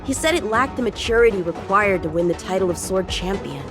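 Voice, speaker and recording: A woman's voice: mid-range voice, lively intonation, a little fast, music in the background, natural voice. A woman playing an eager, proud teenage boy swordsman in a video game, chatty, cocky and earnest. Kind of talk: English video game voice acting.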